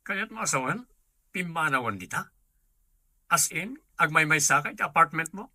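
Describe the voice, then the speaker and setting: A piercingly bright, sassy friend. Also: mid-range voice, expressive, normal speed, dry recording. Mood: teasing, blunt. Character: blunt, teasing close friend. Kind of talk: Ilocano radio drama acting.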